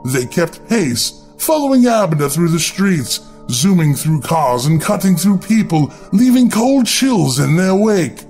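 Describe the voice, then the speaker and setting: Expressive male storyteller, higher-pitched voice. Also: measured pace, few pauses. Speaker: storyteller, podcast narrator. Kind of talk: dramatic scripted horror story narration. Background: background music.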